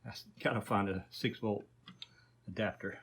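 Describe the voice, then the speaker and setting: A man's voice: fairly low pitch, steady tone, moderate pace, a bit bright, a little hiss or hum. A man hosting a casual repair video, talking informally and thinking aloud as he works. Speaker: host of an electronics repair video. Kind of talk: casual workbench vlog narration.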